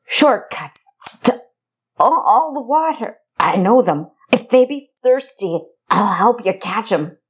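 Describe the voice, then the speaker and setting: A woman's voice: medium pitch, animated, slow, breathy edge, highs cut off, pitched above the performer's own voice. A woman voicing a frightened, pleading male tracker with stammering, folksy, ungrammatical speech in a storybook reading.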